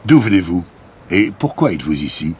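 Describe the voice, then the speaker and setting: An actor playing an upbeat television reporter in a scripted language-lesson report. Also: male voice, low-pitched, sing-song, brisk, darkish tone, husky, full character voice. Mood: upbeat, announcing. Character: upbeat male television reporter interviewing young festival-goers.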